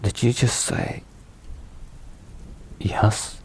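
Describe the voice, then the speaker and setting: A man playing a brooding but tender mafia boss boyfriend in an intimate ASMR roleplay, murmuring haltingly with hushed pauses. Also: a little hiss or hum. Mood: stunned, unsure. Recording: rain and thunder behind voice. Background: rain and thunderstorm ambience.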